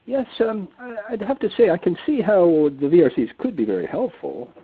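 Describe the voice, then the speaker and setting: Man, husky voice with lively pitch, formal host, phone-line audio. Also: a little fast. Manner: courteous, formal introductions. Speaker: webinar host. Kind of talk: moderating a webinar.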